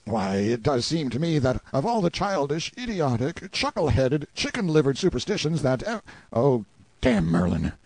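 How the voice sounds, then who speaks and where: Guy doing a cocky sardonic storybook hero, emphatic, pitch all over, slightly rough edge. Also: sing-song, normal speed, a little mellow, dry recording. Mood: scornful outburst. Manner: exclamatory, emphatic. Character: brash, sardonic time-displaced man, boastful and scornful. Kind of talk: audiobook character acting.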